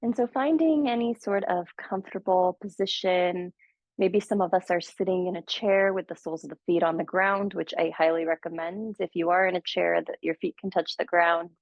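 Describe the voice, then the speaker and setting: A woman guiding a meditation, speaking slowly and gently with soothing pauses. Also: lowish voice, no echo. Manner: slow, gentle, soothing guidance with long pauses. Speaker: meditation teacher. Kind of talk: guided meditation. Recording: dull, muffled audio.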